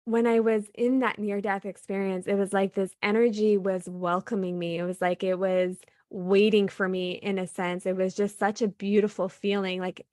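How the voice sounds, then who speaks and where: Woman from Canada, guest storyteller, earnest and reflective, mid pitch, dry close mic. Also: little pitch movement, normal speed, hardly any pauses, darkish tone, no room sound. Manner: earnest, reflective, with frequent "like" fillers. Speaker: podcast guest. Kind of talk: personal storytelling interview.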